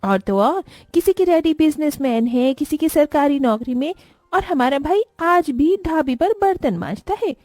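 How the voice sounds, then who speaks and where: Woman as a high, haughty spoiled young sister. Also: lively intonation, moderate pace, darkish tone, no room sound, studio quality. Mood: scornful. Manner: haughty, scolding. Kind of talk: animated moral-story acting.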